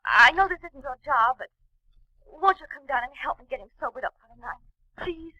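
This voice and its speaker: An actress playing a young, pleading trapeze performer with a polite, imploring manner, in a 1940s radio drama. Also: female voice, high-pitched, lively intonation, moderate pace, no room sound, dull audio. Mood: urgent, pleading. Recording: dry 1940s radio studio recording.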